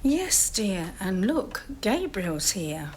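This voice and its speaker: A woman playing a genteel, motherly older mistress of a manor in an audio drama, speaking in a measured way. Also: mid-range voice, sing-song, slight background noise. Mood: calm, confessing.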